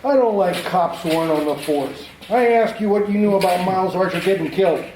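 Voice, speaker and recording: An actor playing a blunt, hostile male police lieutenant in a 1940s radio drama, gruff and brusque. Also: sits high, brisk, noisy background, lightly acted. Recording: roomy 1940s radio broadcast.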